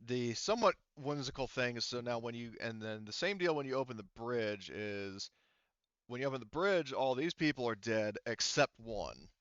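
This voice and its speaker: A man's voice: mid-range voice, lively intonation, moderate pace, fairly bright tone, clear, resonant tone, no room sound. A man working as a game master, narrating a tabletop game off the cuff in a casual, rambling way over a voice call. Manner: rambling, casual, frequent hedging. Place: online voice call. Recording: dull lo-fi voice call.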